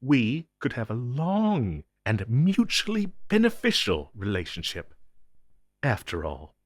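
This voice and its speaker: A man's voice: medium pitch, wildly swooping pitch, slow, dry recording, clean studio sound, heavily acted. A man playing an ancient, possessive telepathic hive-mind entity in an intense audio roleplay, speaking huskily with theatrical, condescending deliberation. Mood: forceful coaxing.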